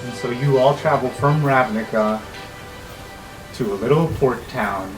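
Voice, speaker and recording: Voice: medium pitch, measured pace, rough recording. A game master narrating an improvised tabletop role-playing game in an unscripted, conversational way. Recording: music behind the voice. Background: background music.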